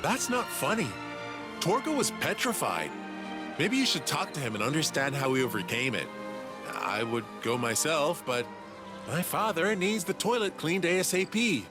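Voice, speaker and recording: Eager nerdy young inventor, wildly expressive. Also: male voice, on the high side, normal speed. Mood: chatty. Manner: enthusiastic, condescending explainer. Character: eager nerdy young male alien inventor, cocky and excitable. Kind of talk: video game cutscene dialogue. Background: background music.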